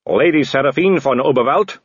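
A man's voice: mid-range voice, fairly quick, slightly rough, no room sound, highs cut off, voice pushed up, natural voice. Man doing a wooden, formal guard captain. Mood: stern.

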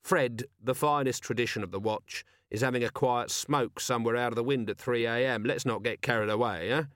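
A man's voice: mid-range voice, fairly quick, fairly bright tone, no echo, studio quality, natural voice. A man voicing a weary, exasperated middle-aged commander of a city watch, tired and grumbling.

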